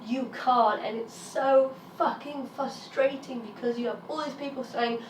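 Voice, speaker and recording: A British woman in her thirties, a little raspy from illness, talking frankly and emphatically to her vlog audience. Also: high-pitched, fairly even intonation, unhurried, slight background noise. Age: 30s. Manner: frank, emphatic, swears when frustrated. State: raspy while getting over an illness. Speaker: vlogger. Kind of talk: candid personal vlog monologue. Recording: roomy sound from a distance, faint ambience.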